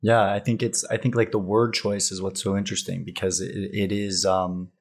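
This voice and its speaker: Male podcast host, low and gravelly, relaxed, rambling delivery. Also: moderate pace. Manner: relaxed, rambling, many fillers. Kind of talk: interview conversation.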